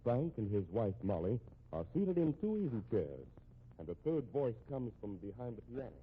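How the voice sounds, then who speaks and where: A man's voice: medium pitch, sing-song, normal speed, husky. An American man narrating a radio mystery as its announcer, in a dramatic scripted 1950s style. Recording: muffled, tinny old radio recording.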